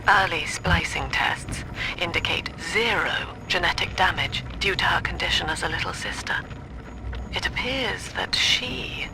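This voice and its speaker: A woman voicing a cold, calculating scientist in a video game, clinical and deliberate, half-whispering. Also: lowish voice, gravelly, rough recording.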